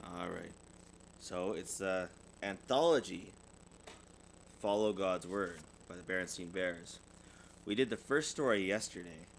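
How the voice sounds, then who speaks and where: A man's voice: low voice, unhurried, creaky, pauses often, slight background noise. A man reading a children's storybook aloud as a parent would, expressively and with small stumbles. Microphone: USB desktop microphone.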